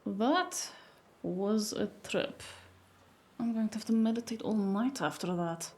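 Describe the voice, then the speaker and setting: A woman playing a blunt, exasperated, sharp-tongued shaman in a cyberpunk audio drama. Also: mid-range voice, a little slow, lightly acted. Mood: unsettled. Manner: blunt, sardonic.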